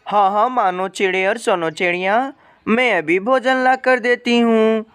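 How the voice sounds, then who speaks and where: A man's voice: sits high, unhurried, fairly bright tone, clear, resonant tone. A man voicing a worried, sorrowful mother bird in a cartoon moral story, lamenting and pleading tenderly. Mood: soothing. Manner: plaintive, lamenting.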